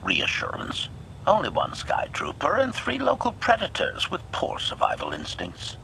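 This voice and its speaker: Video game assassin droid: metallic processed voice, creaky, very animated pitch, formal and servile with a lethal streak. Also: male voice, normal speed, slight background noise, exaggerated voice, poor audio. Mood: formal, obliging. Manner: formal, announces each statement with one word.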